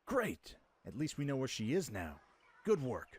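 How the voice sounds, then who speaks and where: Actor as young male prince, animated intonation, confident, breezy adventurer, radio drama. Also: medium pitch, normal speed, slightly rough. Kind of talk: radio play acting, fan production.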